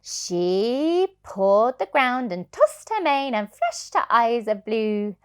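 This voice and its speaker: A woman reading a children's storybook aloud as a childminder, in an expressive, sing-song way. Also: fairly high pitch, takes it slowly, clean tone.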